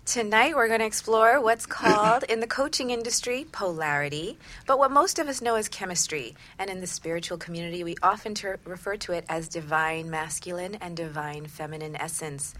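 A middle-aged woman co-hosting a relationship talk show on the radio as a coach, presenting in an enthusiastic, expressive way that moves between script and chat. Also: on the low side, a little fast, hardly any pauses.

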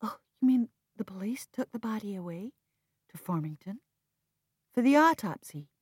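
A woman's voice: mid-range voice, lively intonation, normal speed, darkish tone, breathy edge, no echo, clean studio sound, voice pushed up. A woman narrator playing an earnest, strong-willed and loyal woman in mourning, emphatic and reproachful, in an audiobook drama. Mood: exasperated, harsh.

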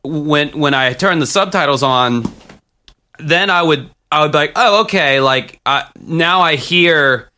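A man's voice: on the high side, unhurried, bright, forceful tone. Chatty American man reviewing a film. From United States. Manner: rambling, many restarts and fillers. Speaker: film podcast co-host. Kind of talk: casual film review chat.

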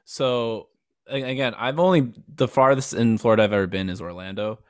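A man's voice: mid-range voice, brisk, clean tone, no room sound, studio quality. A young man hosting a podcast, chatting casually and easily, often affirming his guest. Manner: easygoing, affirming with frequent short backchannels. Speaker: podcast host. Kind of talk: casual interview chat.

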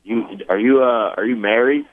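A man's voice: medium pitch, moderate pace, dry recording. A man asking a basketball player questions in a casual, conversational way on a press call. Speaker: reporter. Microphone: phone. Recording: tinny phone line.

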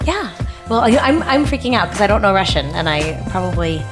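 A woman's voice: mid-range voice, sing-song, brisk, lightly acted. A woman playing an eager, modest young champion in improvised radio theater, speaking in a hesitant, earnest, gushing way. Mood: excited, nervous. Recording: music playing over the voice.

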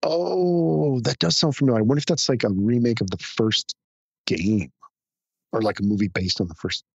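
Hoarse, modest man guest. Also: mid-range voice, normal speed, no echo, lo-fi sound. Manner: modest, hesitant, many restarts. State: hoarse, recovering from illness. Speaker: podcast guest, hobby photographer. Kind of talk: casual interview chat.

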